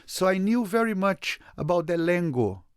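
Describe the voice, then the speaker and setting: A man from Brazil, a photographer and interview guest, telling a story in an animated way, often repeating words and restarting his sentences. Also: sits high, normal speed, smooth, dry recording, studio quality.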